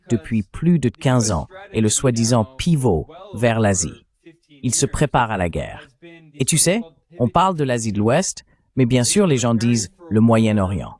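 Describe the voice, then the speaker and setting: A man's voice: medium pitch, a little mellow. A man voicing a French translation of a political analyst's explanatory interview commentary.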